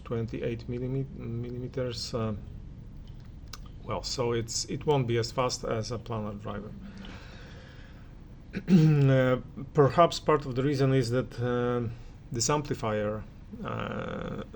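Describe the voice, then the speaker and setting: A man with a medium-pitched voice talks through his listening impressions as a reviewer, without a script. His delivery is very unhurried and halting, as he searches for each word. Some ambient noise sits behind his voice. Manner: hesitant, halting, searching for words. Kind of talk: unscripted spoken product review.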